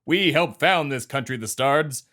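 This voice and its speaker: A man's voice: medium pitch, expressive, measured pace, sharp tone. A man putting on a pompous, self-important old-money lobbyist character with a quasi-New England accent, hosting a comedy podcast. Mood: indignant. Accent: put-on quasi-New England. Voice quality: haughty, self-important, mock-aristocratic.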